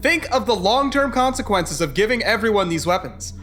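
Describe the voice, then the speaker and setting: A man's voice: high-pitched, lively intonation, brisk, fairly bright tone, a little hiss or hum. A man playing an earnest, by-the-book young military officer in an audio drama, formal and reasoning. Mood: stern, regretful.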